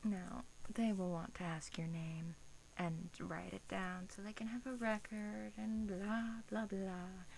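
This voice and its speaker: A sweetly menacing female vampire, played by a woman in an ASMR roleplay. She speaks very slowly and softly with a creaky edge, slipping into whispers as she coaxes and talks down to her listener. A faint hiss sits under the voice. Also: medium pitch, little pitch movement, poor audio. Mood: soothing, clinical. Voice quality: soft, breathy, often whispering. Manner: slow, coaxing, condescending. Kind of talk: soft-spoken ASMR roleplay.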